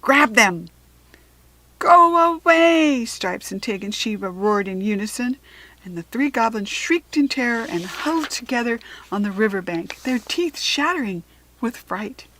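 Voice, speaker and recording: Casting: American woman, storybook narrator, mid-range voice, careful and expressive scripted reading for children. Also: mid-range voice, normal speed, slight background noise, poor audio.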